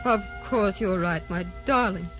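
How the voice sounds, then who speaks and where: An actress playing a gentle, wistful young wife with a tender, soothing manner in a sentimental radio drama. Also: female voice, mid-range voice, a little slow, close to an everyday voice. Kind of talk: sentimental old-fashioned radio drama acting. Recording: muffled old radio recording with music.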